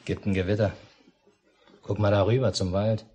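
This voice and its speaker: A man's voice: on the low side, little pitch movement, moderate pace, warm tone, breathy edge. A man playing an unflappable family father in a 1950s radio drama, with a dry, understated delivery. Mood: unruffled. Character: unflappable, dryly matter-of-fact family father amid war. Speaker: narrator and father. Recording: noisy 1950s mono radio recording.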